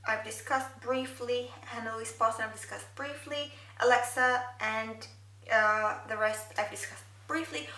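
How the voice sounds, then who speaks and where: A woman's voice: a little high, pushed and bright, echoey room, recorded from a distance, a little hiss or hum, poor audio. A young woman whose first language is Arabic, chatting thoughtfully through a makeup video from her bedroom, with many fillers. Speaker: beauty vlogger. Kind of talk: get-ready-with-me makeup chat. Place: bedroom, indoors.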